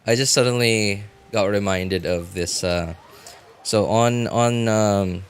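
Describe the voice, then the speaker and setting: A man giving casual live game commentary as a streamer, rambling and halting, often breaking off mid-thought to react. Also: on the low side, smooth.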